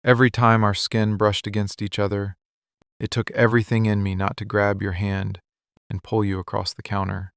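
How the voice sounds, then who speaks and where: A man playing a weary, lovesick, possessive mafia boss in a scripted boyfriend ASMR roleplay, speaking intimately and deliberately in short phrases. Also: on the low side, completely flat delivery, fairly bright tone, clear, resonant tone, no echo, studio quality. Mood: longing, intense.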